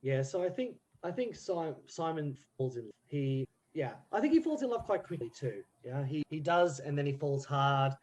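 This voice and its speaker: Halting, casual man in conversation. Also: a little high, big pitch swings, a little mellow. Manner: halting, self-correcting, acts out examples. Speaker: podcast guest, amateur actor.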